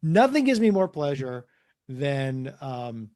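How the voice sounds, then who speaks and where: A middle-aged man telling stories casually as an author guest in an interview, animated and prone to acting out dialogue. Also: medium pitch, slow. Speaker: guest, comics and children's book author.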